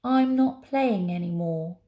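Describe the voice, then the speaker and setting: A woman acts out a petulant, self-important stone tower in a storybook. It speaks imperiously and slowly, with a creaky, gravelly grain. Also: on the low side, mellow. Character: pompous petulant talking stone tower, self-proclaimed master of time.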